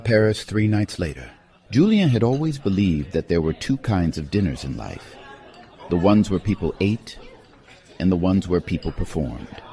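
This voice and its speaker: Deep, mellow male narrator. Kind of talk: scripted literary romance narration. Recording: faint music behind.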